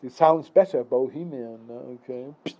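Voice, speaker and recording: Slow, dark-voiced Czech-native man in his sixties. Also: medium pitch, low-quality recording. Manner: halting, many fillers and restarts, tag questions. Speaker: philosophical speaker. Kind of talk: rambling philosophical monologue.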